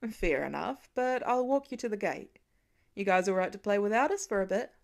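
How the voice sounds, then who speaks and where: A woman voicing a gentle, reassuring father who talks softly and tenderly in a story reading. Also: mid-range voice, very animated, normal speed, creaky.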